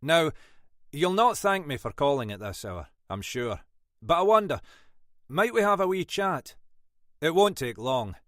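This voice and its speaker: A man voicing a shrewd older Scottish detective inspector with a dry, brisk, wry manner. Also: on the high side, very animated, fairly bright tone, dry recording, voice pushed up. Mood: polite.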